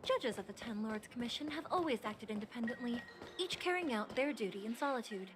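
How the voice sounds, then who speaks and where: A woman voicing a reserved young female judge who speaks calmly and evenly. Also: a little high, background noise, close to an everyday voice. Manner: calm, measured, reserved. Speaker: game character. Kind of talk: video game voice acting. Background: game music.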